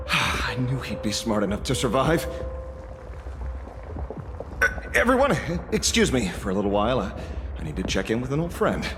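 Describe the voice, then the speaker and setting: Game character played by a man: casual loyal hero, mid pitch, lively intonation, reverberant. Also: moderate pace, long pauses, close to an everyday voice. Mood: surprised, relieved. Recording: long reverb with music behind.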